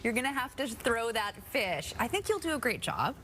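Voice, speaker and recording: A woman's voice: a little high, very animated, crowd noise. A woman co-anchoring a morning news show, playful and chatty. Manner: playful, quick. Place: TV studio.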